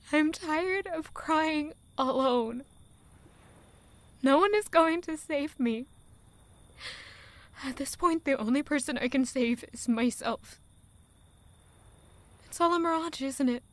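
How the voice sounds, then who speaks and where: A woman playing an anguished, weary young village girl in a dramatic, emotional audio drama monologue. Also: high-pitched. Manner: emotional, slow, deliberate delivery with rhetorical questions.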